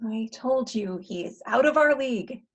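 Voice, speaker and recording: A woman's voice: on the high side, expressive, normal speed, husky, dull audio. A woman playing a blunt, worldly, teasing character with a dry, knowing manner in a comedic table read. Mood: resigned, wry.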